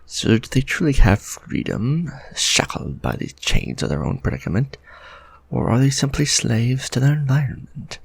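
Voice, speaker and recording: Man playing a gravelly, husky vampire lord. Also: mid-range voice, sing-song, normal speed, no echo, exaggerated voice. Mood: tender. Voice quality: gravelly, husky, soft-spoken. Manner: formal, musing, tenderly addressing a listener. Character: refined, possessive male vampire lord. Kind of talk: ASMR romantic roleplay.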